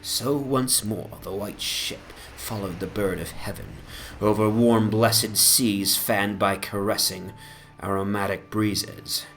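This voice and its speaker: A man narrating literary fantasy as a dramatic audiobook reading, in a measured, solemn and theatrical manner. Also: on the low side, fairly even intonation, a bit bright, a little hiss or hum, low-quality recording. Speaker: narrator, reader. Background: atmospheric music.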